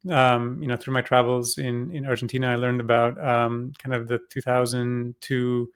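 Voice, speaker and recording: A man who grew up in Singapore, a company co-founder answering interview questions, speaking haltingly with many fillers and restarts. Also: mid-range voice, little pitch movement, clear, resonant tone, dry recording. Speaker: crypto company co-founder, guest.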